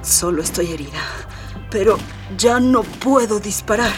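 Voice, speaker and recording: An actress playing a calm, brave wounded seer in a radio drama, speaking in a languid, stoic manner. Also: female voice, mid-range voice, measured pace, distant mic, over music, natural voice. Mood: calm, weary.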